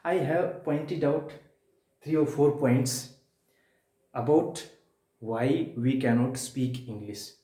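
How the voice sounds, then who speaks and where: A man from India with an Indian accent and Hindi as his first language, giving a spoken-English lesson in a repetitive way, with rhetorical questions and emphatic pauses. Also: medium pitch, mellow. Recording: echoey room.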